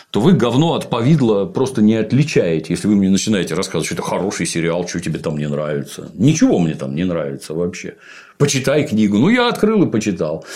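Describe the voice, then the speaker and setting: Man, middle-aged, lowish voice, blunt, scornful, casual chat. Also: a little mellow. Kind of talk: casual podcast chat, critical review.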